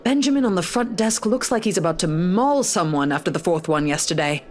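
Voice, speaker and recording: A blunt female cop voiced by an actress in a visual novel. Her voice sits low and she talks curtly, worn down by the job. Soft music runs behind her. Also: lively intonation, fairly quick, natural voice. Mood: weary, wry. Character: jaded, blunt female police detective. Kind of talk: visual novel game voice acting.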